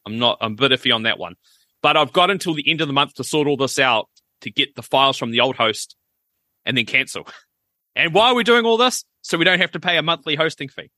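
A man's voice: mid-range voice, lively intonation, quick pace, pushed and bright, no room sound. A man, co-hosting a podcast in casual chat, explaining things at length with wry asides. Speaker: podcast co-host.

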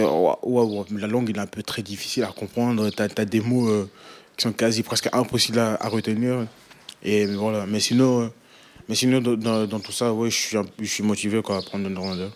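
A man's voice: on the low side, steady tone, a little fast, a little hiss or hum. A teenage boy from Kinshasa answering a street interview in a casual, hesitant way, full of restarts and fillers. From Democratic Republic of the Congo. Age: teens.

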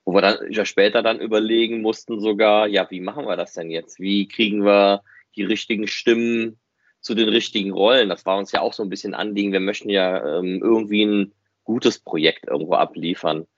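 A man's voice: on the low side, steady tone, brisk, dry recording, muffled recording. A man co-hosting a podcast, chatting casually and explaining emphatically with quoted lines. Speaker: fan podcast co-host. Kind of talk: casual chat.

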